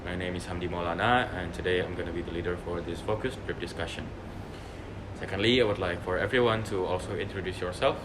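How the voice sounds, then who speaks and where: Low-voiced young man leading a discussion, noisy room. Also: quick pace. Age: young adult. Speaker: discussion leader. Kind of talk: moderating a student group discussion. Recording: noisy room with steady background hum.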